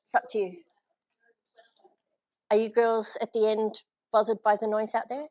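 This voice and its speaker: A woman with an Australian accent giving a live leadership talk, energetic and encouraging, and asking her audience questions. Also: fairly high pitch, normal speed, no echo, dull audio. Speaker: leadership speaker. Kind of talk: live presentation to a small audience. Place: function room with an audience.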